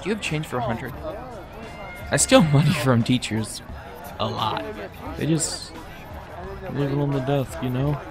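A man's voice: mid-range voice, measured pace, pauses often, loud music behind the voice, over a noisy crowd, natural voice. A man acting a surly but gullible young rebel in an audio drama. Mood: curious, sly.

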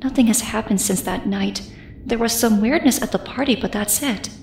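High-voiced, troubled teenage girl played by a woman. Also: moderate pace, gravelly, a little mellow, roomy sound, a little hiss or hum. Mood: subdued, troubled. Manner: subdued, confiding. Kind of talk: audio drama acting.

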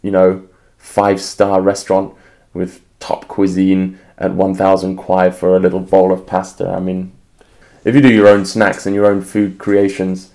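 Creaky-voiced young English man. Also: low voice, monotone, moderate pace, dark tone. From England. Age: 20s. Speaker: aspiring restaurateur and home cook. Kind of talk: casual interview talk.

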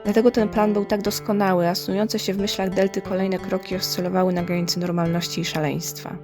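A woman narrates a scripted audio drama. Her voice sits at an average pitch, and she reads the story as its narrator. Faint music plays behind her. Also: flat delivery, brisk.

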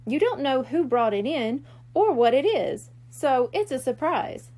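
Woman voicing an earnest high-pitched kitten-girl big sister. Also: big pitch swings, a little slow, a little mellow, heavily acted. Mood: kind, earnest. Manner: earnest, slightly worried.

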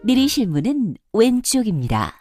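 A woman's voice: on the high side, sing-song, moderate pace, a little mellow, no room sound, clean studio sound, soft background music. A woman reading scripted subway announcements in a formal, polite, carefully enunciated way. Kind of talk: scripted public transit announcement.

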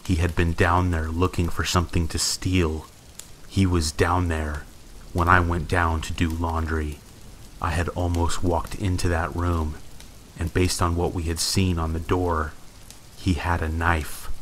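Very deep-voiced man narrating horror. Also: a little slow, rough recording. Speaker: narrator of scary stories. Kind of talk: scripted horror story narration. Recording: steady rain noise behind. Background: rain and thunderstorm ambience.

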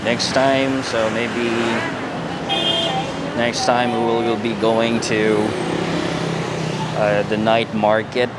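A man with a Filipino accent narrates a night walking tour outdoors, talking haltingly with many fillers into a handheld camera mic. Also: medium pitch, level tone. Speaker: vlogger giving a walking tour. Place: busy city street at night, outdoors. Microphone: handheld camera mic while walking. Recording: loud street traffic noise. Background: loud traffic and street noise.

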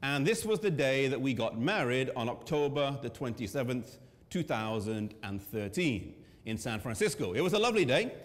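A man in his thirties with an English accent, preaching in a conversational way with pauses and fillers. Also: mid-range voice, sing-song. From England. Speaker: pastor. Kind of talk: sermon presentation. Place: church hall with a seated audience. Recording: long church hall reverb.

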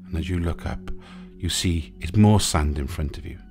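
A man narrating a guided sleep meditation in a soothing, gentle, unhurried way, leaving pauses between short phrases. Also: deep voice. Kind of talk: guided sleep meditation, hypnotic relaxation countdown. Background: music.

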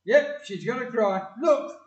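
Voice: mid-range voice, expressive, unhurried, distant mic, lo-fi sound, lightly acted. An actor playing a taunting, menacing child bully in an audio play. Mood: jeering, threatening. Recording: echoey, off-mic.

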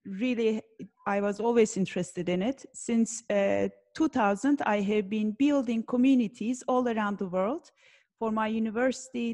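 Woman from Turkey, higher voice, measured enthusiastic introduction. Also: a little mellow. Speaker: business designer, moderator. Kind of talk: video call podcast introduction. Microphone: video call.

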